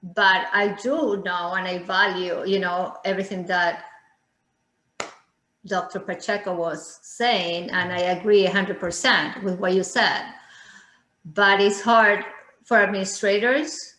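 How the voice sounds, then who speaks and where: A woman's voice: medium pitch, steady tone, measured pace, sharp tone. A woman teacher earnestly asking a question over a video call. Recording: echoey room on a call mic.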